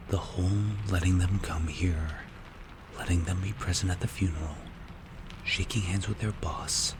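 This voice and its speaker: A man playing a strict, calculating mafia boss in an ASMR roleplay, speaking in a hushed, breathy, measured and menacing way. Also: deep voice, poor audio. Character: strict, cold, calculating male mafia boss mentoring a protégé.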